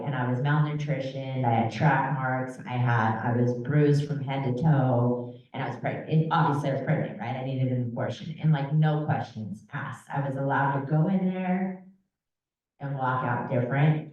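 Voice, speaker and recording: Woman, low register, informal advocate-speaker, conversational, seeks agreement often; distant, muffled pickup. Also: fairly even intonation, moderate pace. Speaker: trafficking survivor advocate and speaker. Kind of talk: informal panel talk with personal story. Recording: muffled, echoey room, far from mic.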